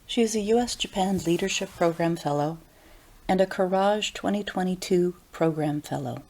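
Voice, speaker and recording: A woman hosting an interview, first reading out a formal guest introduction and then asking questions in a measured, encouraging way. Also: on the low side, darkish tone, low-quality recording. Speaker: interview host.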